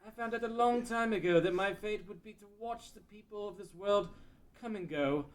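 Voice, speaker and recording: High-voiced, weary immortal watcher, played by a man. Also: normal speed, natural voice. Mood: resigned sorrow. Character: weary, fatalistic immortal watcher, powerless and mournful. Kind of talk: fan table read of a fantasy drama.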